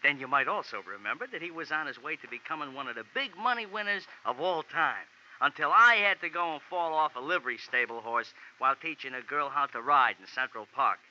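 A man's voice: on the high side, lively intonation, brisk, bright, forceful tone. An actor playing a small, touchy male ex-jockey with pompous, wordy, stiffly formal street talk in a 1940s radio drama. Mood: earnest pleading. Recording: dull, hissy 1940s radio recording.

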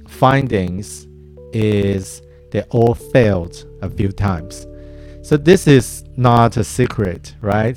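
Slow, halting man, Chinese native speaker. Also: fairly low pitch, darkish tone. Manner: slow, halting, with restarts and fillers. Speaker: design mentor. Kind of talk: advice talk to camera.

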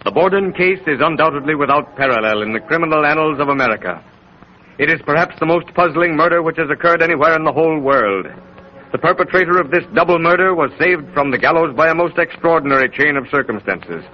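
A man's voice: a little high, fast talker, highs cut off. A man narrating a 1930s radio mystery in a solemn, dramatic manner, on a scratchy old recording.

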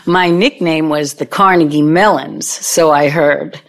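A woman's voice: low-pitched, fairly even intonation, unhurried. A woman playing a brash, nostalgic, scheming older former girlfriend in an audio play, with a knowing, theatrical manner. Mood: wistful, sly.

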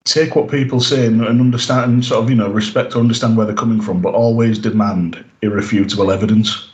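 A man's voice: fairly low pitch, fairly even intonation, quick pace, reverberant, lo-fi sound. A man co-hosting a podcast, recapping an episode in casual chat, rambling with lots of "you know" and "uh". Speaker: podcast co-host.

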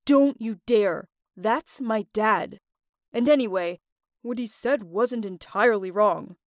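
A woman's voice: medium pitch, animated, normal speed, darkish tone, no echo, studio quality, pitched below the performer's own voice, natural voice. A woman voicing a defensive young man who brushes off his girlfriend's worries. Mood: dismissive. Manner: curt, dismissive.

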